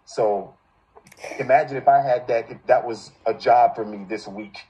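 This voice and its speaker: Man, voice acting coach, directive and encouraging, mellow tone, muffled lo-fi sound. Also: mid-range voice, moderate pace. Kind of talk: coaching and feedback in a group session.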